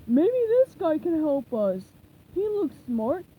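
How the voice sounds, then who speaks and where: Goofy slow starfish dude, high and happy, woman doing it. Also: sing-song, phone-quality sound, slight background noise, full character voice. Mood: dopey. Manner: dopey, slow, cheerful.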